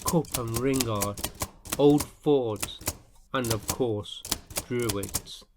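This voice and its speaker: A man narrating a scripted history piece as host, in deliberate, short phrases. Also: medium pitch, very noisy.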